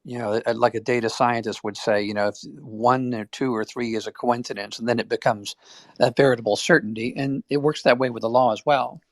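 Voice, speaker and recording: A man's voice: mid-range voice, fairly even intonation, a little fast, no room sound. A man joining a live audio chat to make an opinionated point, arguing it step by step.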